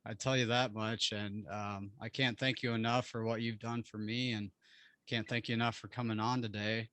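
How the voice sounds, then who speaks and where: A man hosting a podcast, chatting in a friendly, laid-back way with soft laughs and fillers. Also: fairly low pitch, little pitch movement, moderate pace, no echo. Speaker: podcast host. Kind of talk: casual interview chat.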